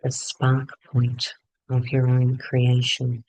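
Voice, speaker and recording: A man leading a guided meditation in short phrases with long pauses between them. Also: medium pitch, monotone, darkish tone, no room sound, poor audio.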